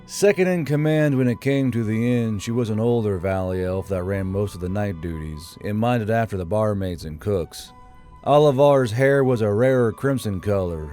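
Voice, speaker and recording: Guy narrating a fantasy audiobook, dark mellow voice, bit deep, faint music under it.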